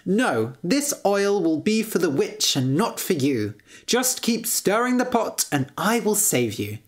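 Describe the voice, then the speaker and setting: A woman's voice: mid-range voice, big pitch swings, measured pace, voice pushed up. A woman voicing a bold, no-nonsense young female adventurer in a storybook tale, speaking bluntly and directly. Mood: indignant, determined.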